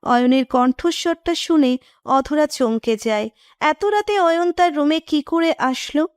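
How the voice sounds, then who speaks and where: High-pitched woman narrating a story. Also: measured pace, darkish tone, dry recording, clean studio sound. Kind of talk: scripted romantic story narration.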